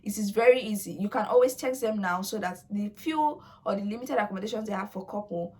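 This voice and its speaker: A woman's voice: medium pitch, fast, darkish tone. A young woman whose first language is Yoruba, talking casually to her viewers in a chatty, coaxing way with playful asides. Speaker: vlogger. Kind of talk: casual talk to camera.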